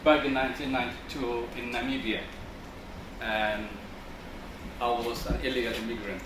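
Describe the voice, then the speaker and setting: A man from Zambia telling a personal anecdote to a group in measured, short phrases. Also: mid-range voice, level tone. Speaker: workshop participant. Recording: distant, echoey room with noise.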